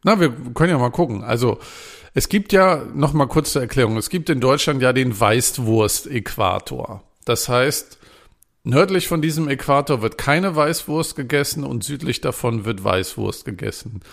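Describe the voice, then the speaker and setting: A man's voice: medium pitch, expressive, moderate pace, creaky. A man co-hosting a casual podcast, playful and laughing at his own attempts at dialect words. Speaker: podcast co-host.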